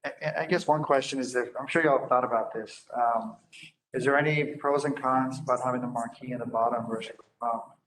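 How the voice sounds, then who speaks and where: A man's voice: mid-range voice, fairly even intonation, fairly quick, fairly bright tone, low-quality recording. A man talking tentatively and informally as he takes part in a meeting discussion. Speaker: board member at meeting. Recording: faint background noise.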